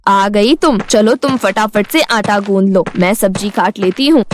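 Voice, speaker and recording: A woman's voice: mid-range voice, a little slow, slight background noise, pitched below the performer's own voice, natural voice. A woman voicing a stern, scolding older mother-in-law in a bossy, emphatic way. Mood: pleased, insistent.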